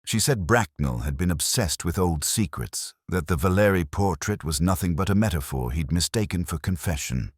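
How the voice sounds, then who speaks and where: A man reading scripted first-person mystery narration in a measured, literary, brooding manner. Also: sits low, lively intonation, slightly rough, no room sound, studio quality.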